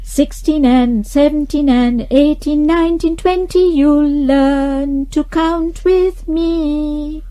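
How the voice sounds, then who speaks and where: High-pitched woman, slow counting chant. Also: mellow. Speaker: demonstrator in a children's English lesson.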